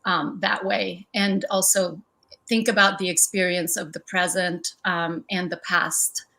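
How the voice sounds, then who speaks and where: Artist guest: middle-aged woman from El Salvador, reflective, unhurried, plenty of ums. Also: on the low side, little pitch movement, gravelly, pushed and bright. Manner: thoughtful, reflective, with frequent ums. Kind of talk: conversational museum artist talk.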